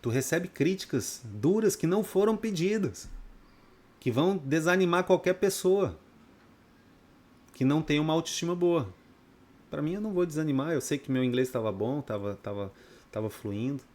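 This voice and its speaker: A man's voice: fairly high pitch, animated, normal speed, darkish tone. A man from Brazil, teaching a trading course in a blunt, profane, off-the-cuff lecture full of rhetorical questions. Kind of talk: unscripted lecture to students.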